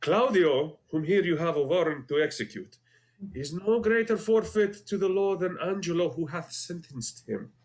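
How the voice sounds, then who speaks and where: A man with an Egyptian accent playing a cunning, commanding disguised duke in a Shakespeare scene rehearsal, delivering verse deliberately. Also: high-pitched, expressive, darkish tone.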